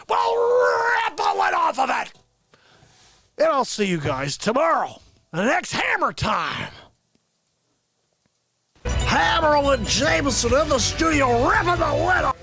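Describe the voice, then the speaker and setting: A man voicing a loud, ranting tabloid news crusader in a mock news show, stretching out emphatic catchphrases. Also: very high voice, huge pitch swings, slow, pauses often, soft background music, pitched above the performer's own voice, exaggerated voice. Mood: bombastic. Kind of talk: bombastic mock news rant.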